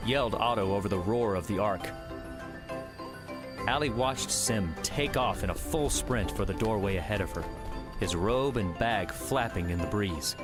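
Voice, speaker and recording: Deep-voiced male audiobook narrator. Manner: measured, steady storytelling. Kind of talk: scripted narration. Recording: music bed under the voice.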